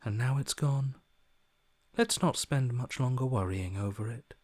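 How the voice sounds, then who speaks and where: A man voicing a cold, bored, callous inner voice in a video game, hushed and breathy, with deadpan, dismissive sarcasm. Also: mid-range voice, unhurried, no room sound, studio quality, natural voice. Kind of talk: video game character acting.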